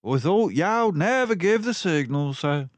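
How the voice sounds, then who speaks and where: Storybook police mouse, male reader acting: deferential, formal, raised pitch, unhurried. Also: animated, no echo. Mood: respectful. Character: respectful, dutiful male mouse police constable.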